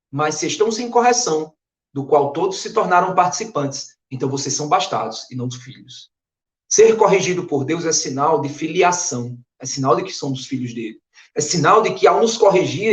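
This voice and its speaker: A Brazilian Northeastern pastor delivers an earnest, emphatic sermon, his voice a little hoarse from a flu. He speaks in a fairly high register for a man. The sound bounces around an echoey room. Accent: Brazilian Portuguese, Northeast. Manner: earnest, emphatic preaching with rising stresses. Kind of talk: expository Bible sermon.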